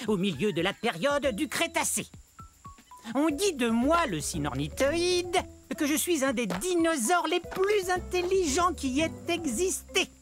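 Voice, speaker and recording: A high, swooping cartoon voice for a small feathered dinosaur, pompous and precise. It lectures politely like the self-important brain of the class, with music behind it. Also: female voice, big pitch swings, measured pace. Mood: proud, courteous. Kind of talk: children's educational cartoon monologue.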